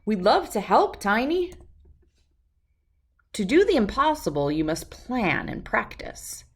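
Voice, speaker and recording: Woman as eager dinosaur siblings, animated pitch. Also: slow, slightly rough, exaggerated voice. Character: eager, helpful young T. Rex siblings.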